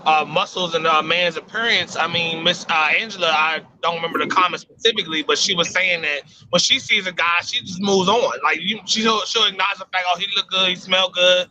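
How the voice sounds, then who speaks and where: A man chatting casually on a talk show panel, a little halting as he searches for words, with some background noise. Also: sits high, bright, forceful tone, low-quality recording. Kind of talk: casual chat.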